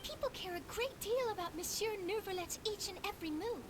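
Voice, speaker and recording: A breezy high-voiced reporter. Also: female voice, expressive, brisk. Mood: confiding. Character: young female reporter, breezy and knowing. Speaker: journalist. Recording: game audio under stream noise.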